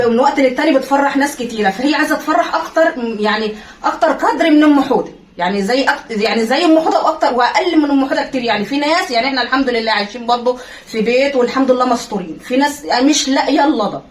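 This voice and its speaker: A woman's voice: high-pitched, echoey room, a little hiss or hum. Woman, Egyptian accent, casual vlog chat, cheerful, encouraging. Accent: Egyptian Arabic. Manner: cheerful, encouraging, laughs often. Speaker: vlogger.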